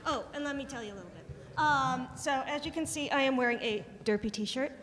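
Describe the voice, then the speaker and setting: A woman's voice: sits high, animated, fairly quick. A woman chatting in a self-deprecating way before playing a song. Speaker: writer panelist. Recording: echoey hall with loud crowd noise.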